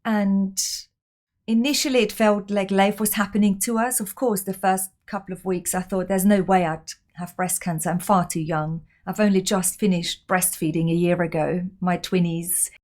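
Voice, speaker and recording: A woman's voice: mid-range voice, fairly even intonation, normal speed, darkish tone. A woman from Austria, a podcast guest telling her personal story in an open, reflective way.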